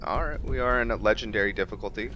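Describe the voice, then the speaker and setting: Deep-voiced man doing casual game commentary. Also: fast, lo-fi sound, background noise. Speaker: gaming video host. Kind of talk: casual let's-play commentary.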